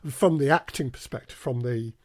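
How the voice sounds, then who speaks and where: A man's voice: mid-range voice, normal speed, no echo, studio quality. A man talking conversationally as an interview guest, explaining his work through anecdotes. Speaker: podcast guest, audio drama producer.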